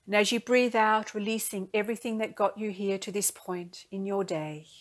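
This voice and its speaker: A woman leading a guided meditation in a gentle, soothing, unhurried manner, speaking in soft phrases. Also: medium pitch, steady tone.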